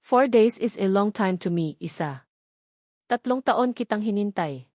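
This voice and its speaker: A woman's voice: medium pitch, animated, unhurried, warm tone, no echo, studio quality. A woman voicing an insistent, affectionate man engaged to the heroine, in a romance audio novel. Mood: calm, tender.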